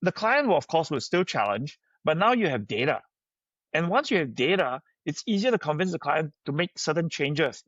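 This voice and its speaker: A man's voice: a little high, big pitch swings, normal speed, a bit bright, no echo, dull audio. A middle-aged man with a Singaporean accent, answering as a podcast guest in a conversational, anecdotal way. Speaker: company founder, podcast guest.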